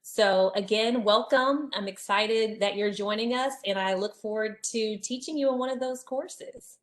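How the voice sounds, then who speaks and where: Soft-spoken woman professor welcoming students. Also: medium pitch, a little slow. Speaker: counseling professor. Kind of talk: brief welcome speech. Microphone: video call.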